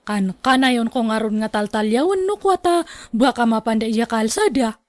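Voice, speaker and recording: A woman plays a gossipy young Filipino woman in a radio drama. She chatters and complains in a very high voice, with a dry studio sound. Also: expressive, normal speed, darkish tone, dry recording, close to an everyday voice. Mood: complaining, tattling. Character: gossipy younger woman tattling to her mother.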